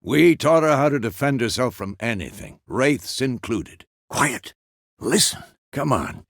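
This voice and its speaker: An actor playing a gruff, stern but fatherly old monster hunter in a video game, with a gravelly voice and a terse, weary, commanding manner. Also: mid-range voice, expressive, a little slow, fairly bright tone, dry recording, clean studio sound, exaggerated voice. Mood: urgent, commanding. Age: older. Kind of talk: dramatic game character dialogue.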